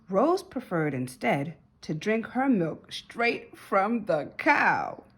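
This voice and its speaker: Animated, slightly husky woman reading a storybook. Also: on the high side. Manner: animated, dramatic emphasis with drawn-out words. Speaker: storybook reader. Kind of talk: expressive children's read-aloud narration.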